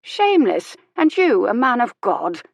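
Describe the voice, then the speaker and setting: A woman voicing a sharp-tongued, dying elderly noblewoman with a wry, imperious manner. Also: fairly low pitch, lively intonation, normal speed, dry recording, highs cut off. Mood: tart relish. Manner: tart, teasing, grandly authoritative.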